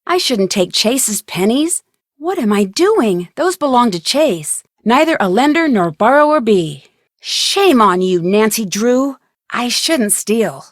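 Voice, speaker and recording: Video game lead: inquisitive young American woman sleuth, plucky, conversational, crisp, higher register, dry studio sound. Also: big pitch swings, takes it slowly, no echo, exaggerated voice. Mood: self-scolding. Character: plucky, inquisitive young female amateur detective. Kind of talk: scripted video game voice acting.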